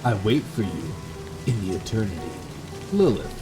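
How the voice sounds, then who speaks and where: A man reading an eerie, devoted dead woman's words to her lover in a gothic horror visual novel, slow and dramatic. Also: fairly low pitch, big pitch swings, poor audio. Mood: beckoning, intense. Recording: background music under the voice.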